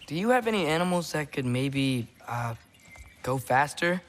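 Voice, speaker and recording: A man's voice: a little high, expressive, normal speed, natural voice. A young male actor playing an earnest, curious, slightly awkward teenage boy in a video game, talking casually and hesitantly. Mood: shy, earnest. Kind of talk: naturalistic video game acting.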